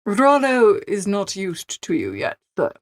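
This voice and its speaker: A woman voicing an unflappable male valet in a storybook reading, coolly deferential, formal and measured. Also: medium pitch, wildly swooping pitch, no room sound, studio quality. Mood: calm, deferential.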